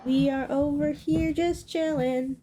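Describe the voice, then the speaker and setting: Chatty higher-voiced woman livestreamer. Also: fairly even intonation, slow, warm tone. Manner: chatty, repeats short phrases. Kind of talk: casual chat with stream viewers. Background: game music.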